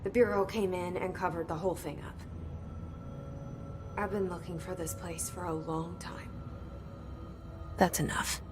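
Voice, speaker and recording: An actress voicing a guarded, determined young woman who has become an agency director, with a hushed, inward inner voice and blunt speech aloud. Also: lowish voice, fairly even intonation, measured pace, pauses often, close to an everyday voice. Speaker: video game protagonist. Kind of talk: cutscene dialogue and inner monologue. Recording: game audio with music and noise.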